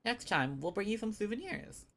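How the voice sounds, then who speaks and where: A woman's voice: mid-range voice, big pitch swings, moderate pace, fairly bright tone. A woman livestreamer giving casual commentary while she plays a video game.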